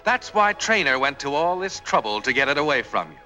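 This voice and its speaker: An actor playing an ominous, knowing narrator in a 1940s mystery film, addressing the hero in a dramatic, sardonic, deliberate way. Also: male voice, a little high, very animated, sharp tone, heavily acted. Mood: ominous, taunting. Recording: old film soundtrack over music. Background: music.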